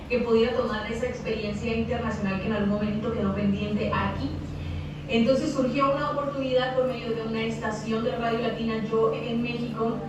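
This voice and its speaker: Mexican woman, distant and echoey. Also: on the high side. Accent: Mexican Spanish. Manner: hesitant, repeats words, thinks aloud. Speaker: radio announcer and guest speaker. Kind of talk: interview over a video call. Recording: echoey, distant, noisy video call audio.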